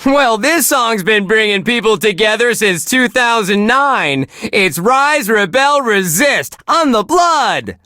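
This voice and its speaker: Game radio DJ: squeaky-clean, earnest, chirpy, bright and animated delivery. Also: male voice, dry recording, studio quality, full character voice. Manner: chirpy, earnest, gee-whiz exclamations. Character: relentlessly cheerful, wholesome male radio DJ on a heavy-metal station. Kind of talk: upbeat radio DJ patter, scripted.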